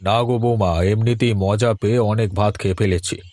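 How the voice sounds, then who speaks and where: A man playing a proud older village patriarch, gruff yet caring, in an audio drama. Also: on the low side, steady tone, a little fast, a little mellow, no echo, natural voice. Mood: kindly. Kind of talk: Bengali audio story drama acting.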